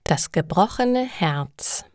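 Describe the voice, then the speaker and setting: A woman's voice: fairly low pitch, lively intonation, slow pace, darkish tone, no echo, studio quality. A woman reading scripted stories for language learners as a narrator. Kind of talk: slow, clearly read scripted story narration.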